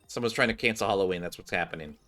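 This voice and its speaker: A man's voice: deep voice, racing, sharp tone, faint music behind. A man streaming a game, reading story dialogue aloud theatrically and dropping into casual asides. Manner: theatrical, switches between character voices and casual asides.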